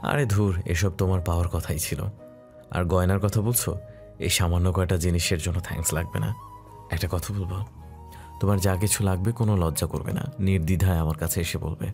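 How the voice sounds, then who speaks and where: Man acting a deep-voiced, gentle widowed husband. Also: fairly even intonation, brisk, faint music behind. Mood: reassuring. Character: gentle, wistful widowed husband, well-off businessman. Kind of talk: Bengali romantic audio drama acting.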